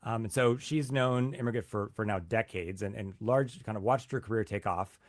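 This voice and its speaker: A man's voice: fairly low pitch, normal speed, no room sound, clean studio sound. A male reporter explaining a story in a news interview, often repeating words as he starts a sentence.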